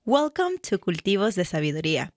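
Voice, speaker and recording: A woman from Peru with a Peruvian Spanish accent, a therapist hosting a radio show and reading a prepared introduction in a measured, earnest way. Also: medium pitch, sing-song, soft background music. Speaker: radio show host and mental health therapist.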